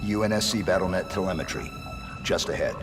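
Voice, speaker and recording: An actor playing a stoic armored super-soldier who speaks in terse, clipped lines in a video game cutscene. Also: male voice, low-pitched, steady tone, brisk, in a large hall, lightly acted. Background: music score.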